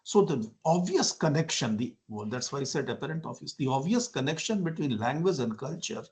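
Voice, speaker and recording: A man's voice: on the high side, lively intonation, fairly quick, a little mellow. A man from India, giving an academic lecture over a video call, explaining with rhetorical questions and frequent "uh" fillers. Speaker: university lecturer.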